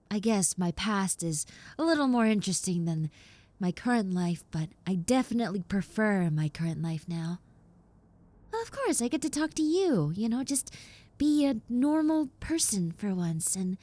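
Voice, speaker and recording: Woman acting a hesitant, mellow young roommate with regrets. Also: medium pitch, expressive, normal speed, no room sound, natural voice. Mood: bashful, touched. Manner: hesitant, stammering, self-conscious, rambling. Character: shy, rambling recovering yandere young woman, apologetic new roommate. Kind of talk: roleplay audio acting, intimate one-sided conversation.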